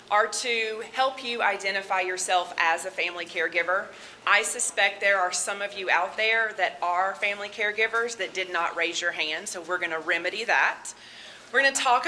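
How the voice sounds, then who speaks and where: Southern lady giving a talk at a conference, bright loud voice, a bit of hiss behind. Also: medium pitch. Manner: loud, chatty, nervous laughs. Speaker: conference speaker, medical social worker. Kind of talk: conference presentation. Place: conference room with audience.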